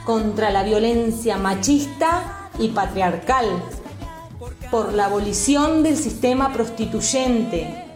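A woman's voice: fairly high pitch, animated, slow pace. An Argentine woman activist reading a scripted declaration in a solemn, declamatory manner. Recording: roomy echo, music behind.

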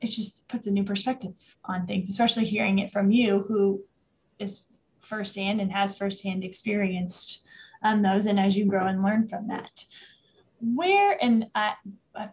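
Halting, friendly woman interviewer. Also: mid-range voice, a little mellow. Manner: halting, restarts questions, friendly acknowledgments. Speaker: interviewer host. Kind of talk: casual interview.

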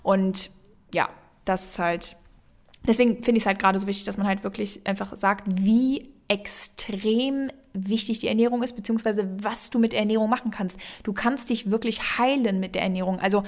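A woman's voice: mid-range voice, steady tone, moderate pace. Young woman podcast co-host, loose and rambling, heavy on fillers, mixes in English words. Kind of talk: casual podcast chat.

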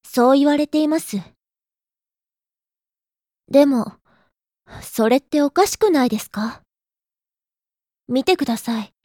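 A woman's voice: high-pitched, animated, a little slow, pauses often, a little mellow, dry recording, studio quality. A woman playing a prim know-it-all little sister in an audio drama, lecturing her hapless older brother in polite, exasperated speech. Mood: lecturing, skeptical. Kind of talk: Japanese audio drama acting.

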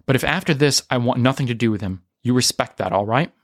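A man plays a young rebel woman in an audio story, guarded and bitter. The delivery is flat and firm, at a middling pitch. The sound is dry and close. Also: quick pace, dry recording, close to an everyday voice. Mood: reluctant, firm.

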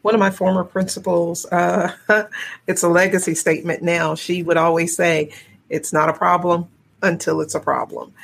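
A woman educator in a podcast panel discussion, phrasing her thoughts deliberately and reflectively. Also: on the low side, flat delivery, gravelly.

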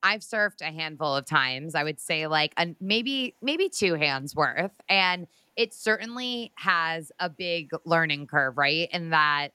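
A woman's voice: deep voice, slow pace, hardly any pauses, sharp tone, smooth, dry recording. A woman hosting an interview, asking questions with enthusiastic reactions. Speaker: interviewer host.

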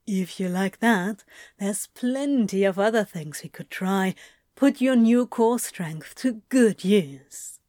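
A woman's voice: medium pitch, lively intonation, takes it slowly, mellow, no echo, studio quality. A woman playing a mischievous, flirty male yoga instructor in a fan fiction audio drama, with a teasing, murmuring drawl. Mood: flirty, hesitant.

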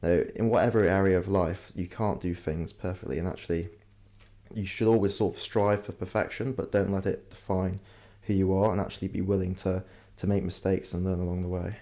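A young man answers interview questions in a very low, flat, mellow voice. He sounds reflective, often hedging as he talks things through. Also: a little fast. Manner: reflective, hedging with "you know" and "sort of". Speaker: guest, entrepreneur.

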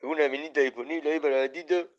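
Man playing a high, brash Argentine womanizer. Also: steady tone, rapid-fire, a little hiss or hum, lightly acted. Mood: boisterous. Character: brash, womanizing male former classmate. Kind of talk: comic radio drama in WhatsApp voice messages. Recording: dull, lo-fi audio.

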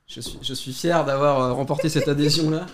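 A man's voice: on the high side, fairly even intonation, heavy background noise. Argumentative man debating. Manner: argumentative, measured, stammers on restarts. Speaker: YouTuber guest.

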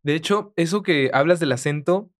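A woman's voice: low voice, normal speed. A woman asking questions in a casual, conversational way as an interviewer.

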